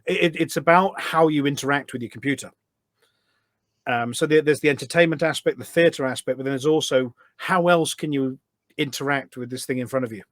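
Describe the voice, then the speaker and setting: A man's voice: medium pitch, brisk, no room sound. Enthusiastic man explaining, dry close sound. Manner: enthusiastic, explains step by step with rhetorical questions. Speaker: podcast guest, voice pack developer. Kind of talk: enthusiastic explanatory interview chat.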